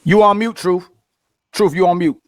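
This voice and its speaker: A man's voice: high-pitched, moderate pace, no room sound. Combative man in a heated debate. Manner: combative, talks over others. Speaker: debate panelist.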